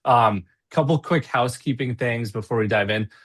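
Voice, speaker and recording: A man's voice: mid-range voice, moderate pace. A man hosting a webinar over a video call, chatty and upbeat with plenty of fillers. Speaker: webinar host.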